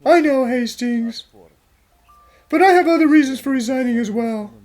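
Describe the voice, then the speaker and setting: A woman's voice: sits high, normal speed, darkish tone, close to an everyday voice, low-quality recording. A woman voicing a proud, worn-out male chief inspector in an anime fan dub, formal and melodramatic, with music underneath. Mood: resigned, confessing.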